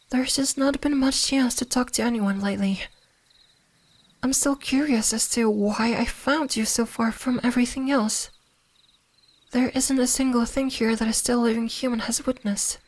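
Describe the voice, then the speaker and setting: A woman playing a gentle, curious kitsune fox spirit in an ASMR roleplay, speaking softly, soothingly and a little hesitantly. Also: a little high. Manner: soft-spoken, soothing, hesitant, at times whispering.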